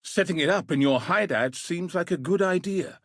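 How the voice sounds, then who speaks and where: Gruff game commander, husky mid-pitched voice, grave and dry, clipped military delivery, close dry sound. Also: male voice, moderate pace, fairly bright tone, dry recording. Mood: grave, resolute. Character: gruff, dutiful male military commander sending a warrior into danger. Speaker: video game character, quest giver. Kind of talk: scripted video game voice acting.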